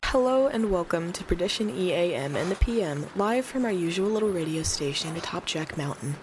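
A woman's voice: on the low side, lively intonation, brisk, over music, lightly acted. A woman playing a concerned small-town late-night radio host in an eerie audio drama. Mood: grave, composed.

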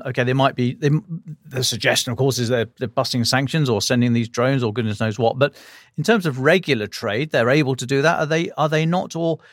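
A man's voice: mid-range voice, no room sound, clean studio sound. A British man hosting an interview podcast, putting probing, stacked questions in colloquial language. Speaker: podcast host.

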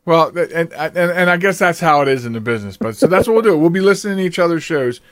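Male podcast host, slightly high-pitched, dry close recording; chatty, rambling interview conversation. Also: animated, fast, fairly bright tone, no room sound.

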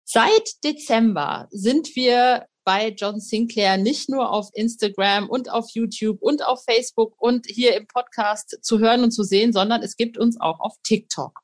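A woman's voice: fairly high pitch, a little slow. A woman hosting a podcast in a chatty, informal and enthusiastic way, addressing listeners and guests directly. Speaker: podcast host and music journalist. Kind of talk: upbeat podcast hosting and interview.

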